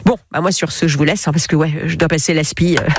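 A woman's voice: sits low, steady tone, fast, noisy background. A woman giving a playful, humorous radio column, teasing her listeners with jokey asides. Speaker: radio chronicler.

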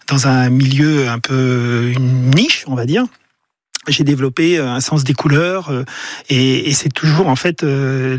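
Un homme d'âge mûr, originaire de Paris, invité d'un studio de radio, parle de son travail de peintre avec beaucoup d'hésitations. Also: male voice, medium pitch, dry recording. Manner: hesitant, many fillers and restarts. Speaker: painter and guest. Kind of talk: radio interview answers. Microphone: studio microphone.

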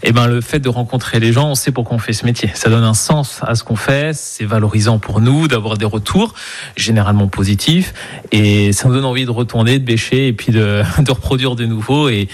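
A man's voice: mid-range voice, brisk. A man, a market gardener, answering interview questions earnestly and a little hesitantly.

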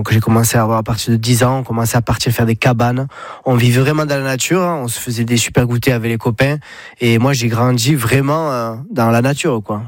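A man's voice: mid-range voice, dry recording, studio quality. A man in his thirties with a southern French accent, a business founder telling his story in a radio interview with frequent hesitations. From Pyrénées-Orientales, France. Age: 30s. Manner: hesitant, many fillers and restarts. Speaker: guest, espadrille brand founder. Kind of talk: casual interview.

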